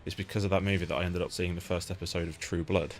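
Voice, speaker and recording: A man with a low-pitched voice hosts a casual interview. He talks rapidly in an informal, blunt way, with faint music behind him. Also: fairly even intonation. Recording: lo-fi amateur interview setup.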